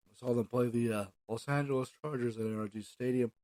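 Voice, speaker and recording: A middle-aged man from Texas giving a casual solo podcast monologue in a halting, plain-spoken way, pausing mid-sentence. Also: medium pitch, fairly even intonation, a little mellow, dry recording. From Houston, Texas. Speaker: podcast host.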